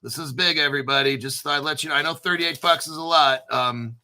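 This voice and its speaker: A man's voice: a little high, quick pace, pushed and bright. A man hosting his own crypto channel, talking casually and conspiratorially, spelling out names letter by letter and swearing now and then. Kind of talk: casual solo commentary.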